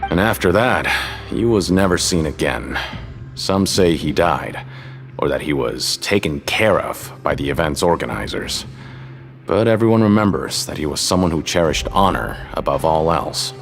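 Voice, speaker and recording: Casting: shifty fight-betting vendor, low voice, lively swings, casual confiding game delivery, music underneath. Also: male voice, normal speed, gravelly, heavily acted. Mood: storytelling. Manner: casual, hesitant, confiding salesman. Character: shifty, folksy adult male betting-ticket seller at fights. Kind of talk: video game character acting.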